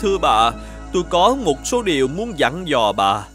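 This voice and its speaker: Swooping, deferential village husband. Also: male voice, a little high, very animated, normal speed, fairly bright tone, faint music behind. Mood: earnest. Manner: pleading, deferential. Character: humble, worried village husband, grateful and pleading. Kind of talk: animated folktale dubbing.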